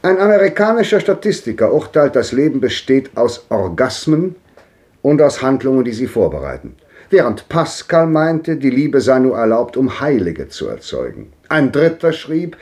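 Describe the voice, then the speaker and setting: A man's voice: mid-range voice, a little mellow. An actor playing a cultured, world-weary old man who speaks in composed, rhetorical monologue in a 1950s radio drama. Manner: declamatory, rhetorical phrasing.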